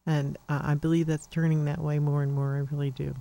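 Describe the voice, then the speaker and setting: A woman's voice: deep voice, flat delivery, fairly quick, creaky, warm tone. An older woman hosting a psychic call-in radio show, talking in a rambling, chatty way with many fillers. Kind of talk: casual radio call-in talk.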